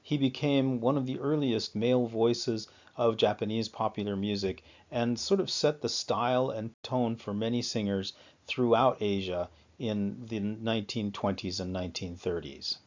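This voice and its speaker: A man talks through a history lecture in a fairly low voice, stopping often to fill pauses and correct himself. The recording is somewhat dull and lo-fi. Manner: halting, frequent fillers and self-corrections. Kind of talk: unscripted lecture on music history. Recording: slightly dull lo-fi sound.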